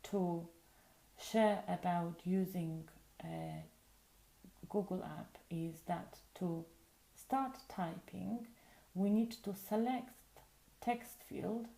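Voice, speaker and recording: A woman whose first language is Polish, calmly explaining phone functions step by step in a patient way. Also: lowish voice, slow, creaky, roomy sound, rough recording. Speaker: tutorial presenter. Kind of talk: casual spoken tutorial. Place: indoors at a table.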